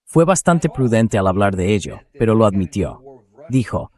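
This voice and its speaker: A man's voice: lowish voice, a little mellow. A man's synthetic voice dubbing an interview host in political commentary, reading conversational speech aloud. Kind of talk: AI-read dubbed political commentary.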